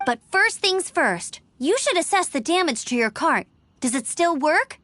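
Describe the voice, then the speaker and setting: A woman's voice: very high voice, big pitch swings, a little slow, bright, forceful tone, slight background noise, heavily acted. A woman playing a spirited, eager young adventurer in an anime video game. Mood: eager, concerned.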